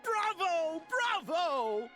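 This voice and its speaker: A woman's voice: very high voice, sing-song, very slow, heavily acted. A woman playing an exuberant, theatrical young film director in a video game, grand and effusive. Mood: elated. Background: game music.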